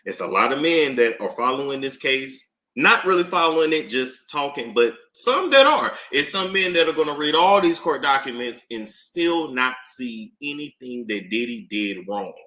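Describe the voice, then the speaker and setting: Man, live-stream commentator, bright lively delivery, roomy echo, emphatic repeater. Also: medium pitch, moderate pace, few pauses. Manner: animated, emphatic, repeats phrases for effect.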